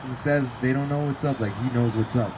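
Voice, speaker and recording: Man, twenties, drummer, rambling casual talk, middle pitch, loud traffic behind. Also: steady tone, fast talker. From California. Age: 20s. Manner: laid-back, rambling, filler-heavy. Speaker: drummer in a band. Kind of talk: casual interview chat. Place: outdoors near a freeway. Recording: outdoors with loud freeway traffic.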